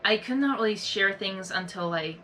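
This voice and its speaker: A young woman hosting a reaction video, talking in a rambling, earnest, soft-spoken way with many fillers and restarts. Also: mid-range voice, moderate pace, a little hiss or hum. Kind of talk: casual reflective monologue.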